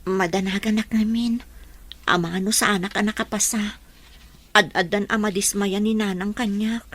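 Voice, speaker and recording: An actress playing a hurt, unloved young adult daughter in an Ilocano radio drama. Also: female voice, mid-range voice, fairly even intonation, moderate pace, fairly bright tone, lightly acted. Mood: worried.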